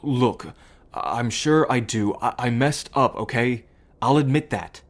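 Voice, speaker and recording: A man's voice: mid-range voice, measured pace, lightly acted. A man playing a young, apologetic brother estranged from the mob, pleading and hesitant, in an audio roleplay. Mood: contrite pleading.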